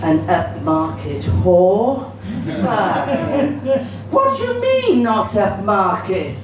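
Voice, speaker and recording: A woman's voice: medium pitch, big pitch swings, takes it slowly, over music. Bawdy Cardiff woman doing stand-up, echoey room. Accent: Cardiff Welsh. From Cardiff, Wales. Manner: bawdy, chatty, swears freely. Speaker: stand-up comedian. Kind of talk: live stand-up comedy to an audience. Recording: roomy, distant, noisy live venue recording.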